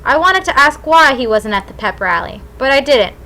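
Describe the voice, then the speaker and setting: A woman's voice: high voice, very animated, normal speed, pushed and bright, rough recording. A woman narrating a novel in the first person as a scripted audiobook reading.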